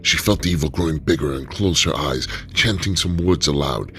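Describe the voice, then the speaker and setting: A man narrating an adventure radio drama in a measured storytelling manner. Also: extremely low pitch, a bit bright, a little hiss or hum.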